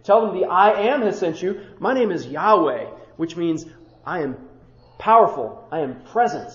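A man with a high-pitched voice preaches a sermon as a pastor. He stacks short phrases into rhythmic lists and drops into casual, conversational asides. The recording is dull and muffled, with a roomy echo around him. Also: animated, normal speed.

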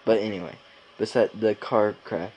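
Young dude podcast host, lowish voice, rambles with lots of likes, bit of background noise. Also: male voice, fairly even intonation, moderate pace, darkish tone, low-quality recording. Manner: rambling, filler-heavy, informal slang. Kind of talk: casual podcast chat.

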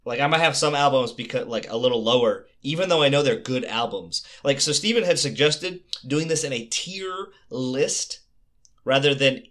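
A man's voice: medium pitch, moderate pace, fairly bright tone. A man co-hosting a casual music podcast, talking loosely and with enthusiasm. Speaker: podcast co-host.

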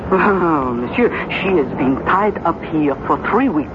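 An actress playing a gossipy, chatty French fishmonger in a 1970s radio drama, with a folksy, conspiratorial manner. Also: female voice, sits low, expressive, measured pace. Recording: noisy, muffled 1970s radio broadcast.

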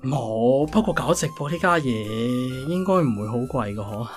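A man with a Hong Kong accent playing a flattering, henpecked husband given to clownish despair in a comedic radio drama. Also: medium pitch, normal speed, soft background music, natural voice. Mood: dismayed.

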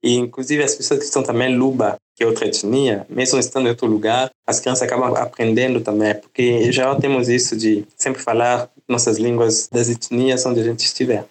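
A man from the Democratic Republic of the Congo, a native French speaker, explaining at length in a relaxed conversational interview. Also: medium pitch, a little fast, hardly any pauses. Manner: relaxed, explanatory, often adds "né?" and "entende?". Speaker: podcast guest.

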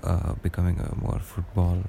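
A young man with a deep voice, rambling through a casual solo podcast monologue with lots of hesitations. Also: completely flat delivery, moderate pace, vocal fry, mellow, a little hiss or hum. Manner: rambling and hesitant, many fillers and restarts. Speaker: podcast host.

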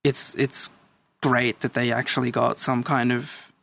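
A man's voice: mid-range voice, level tone, a little slow, vocal fry, a bit bright, no echo. A man in his thirties, born in El Salvador, chatting casually and telling stories as a guest. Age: 30s. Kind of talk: casual chat.

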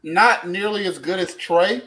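A man's voice: high-pitched, a little slow, pushed and bright, rough recording. A middle-aged man co-hosting a casual live-stream chat, upbeat and prone to repeating words. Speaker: co-host.

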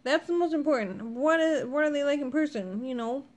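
Woman podcast co-host, high voice, talkative, quick to protest. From Ohio, USA. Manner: chatty, defensive, laughs often. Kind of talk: casual couple chat.

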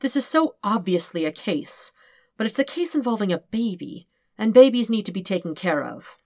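A woman's voice: mid-range voice, very animated, normal speed, darkish tone, dry recording, clean studio sound. A woman reading scripted fiction as an audiobook narrator.

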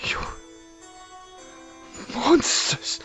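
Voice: drawn-out words, a bit bright, soft background music. An actor playing a tender, frightened young boy prince and loving little brother in an amateur fantasy audio drama. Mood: distraught, pleading.

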